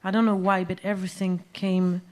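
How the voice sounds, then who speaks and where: A woman, a musician guest talking about her music in a live on-stage interview, pausing often to search for words. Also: lowish voice, flat delivery. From Algeria, raised in France. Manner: hesitant, searching for words, many fillers. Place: small music venue stage.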